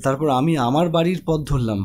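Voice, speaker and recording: A man's voice: a little high, fast, a little mellow, close to an everyday voice. A man voicing an anxious young man in a horror cartoon, with colloquial, grumbling speech. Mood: confused, worried. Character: anxious young man searching for missing friend. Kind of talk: Bengali cartoon horror story voice acting.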